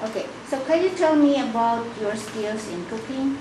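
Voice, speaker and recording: A woman interviewing a job applicant, asking brisk questions with frequent "okay, uh" fillers. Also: a little high, animated. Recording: echoey room, distant mic, background noise.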